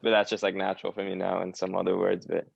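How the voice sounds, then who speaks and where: Young man, Long Island accent, dull dry lo-fi sound, halting casual answers. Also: sits low, completely flat delivery, creaky, no echo. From New York, USA. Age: young adult. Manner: hesitant, many fillers and restarts. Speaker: guest, academy footballer. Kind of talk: casual interview chat.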